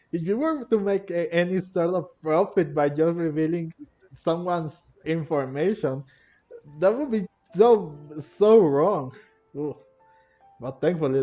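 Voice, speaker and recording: Slow, hesitant man commenting on a game. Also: fairly high pitch, warm tone. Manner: hesitant, rambling, repeats words. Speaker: gamer commenting on play. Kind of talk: casual gameplay commentary. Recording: muffled audio, faint game music. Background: faint game music.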